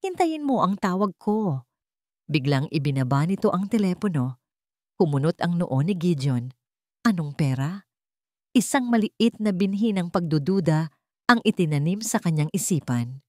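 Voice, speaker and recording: A woman with a low voice narrates a scripted drama. The recording is dry and close, with very little room on it, as she tells the story as narrator. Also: mellow, no room sound.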